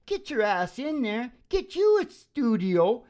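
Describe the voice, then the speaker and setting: Excitable middle-aged man on a rant. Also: very high voice, slow pace. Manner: emphatic, excitable, seeking agreement with tag questions. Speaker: live music show host. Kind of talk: opinionated casual rant. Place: small dim wood-panelled room. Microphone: stand microphone.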